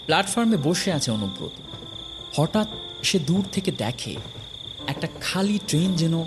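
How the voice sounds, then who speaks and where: A man narrating a horror story from a script, dramatically, in short phrases with pauses. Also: a little high, background noise.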